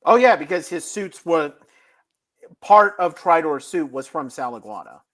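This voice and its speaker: A man's voice: a little high, lively intonation, a little slow, fairly bright tone. A man chatting casually as a podcast co-host, somewhat hesitant and self-deprecating. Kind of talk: casual chat.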